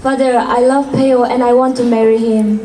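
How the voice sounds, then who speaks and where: Lovesick village girl, played by a woman, higher soft-toned voice, earnest, folk tale play, roomy sound. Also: flat delivery, moderate pace, far from the mic, lightly acted. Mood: earnest pleading. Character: devoted lovesick young village daughter. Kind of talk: amateur stage-style acting of a folk tale. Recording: echoey room, off-mic, faint noise.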